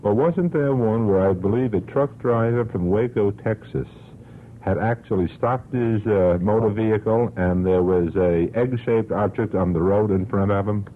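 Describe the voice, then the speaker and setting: A man's voice: lowish voice, moderate pace. A man on a radio panel, politely and tentatively raising questions as a guest. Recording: muffled old radio recording, faint noise.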